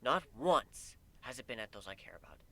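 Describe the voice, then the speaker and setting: A man's voice: medium pitch, sing-song, normal speed, a bit bright. A man voicing an angry, weary male griffin with an intense, emphatic delivery in a dramatic story reading. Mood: impassioned. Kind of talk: dramatic fan fiction reading.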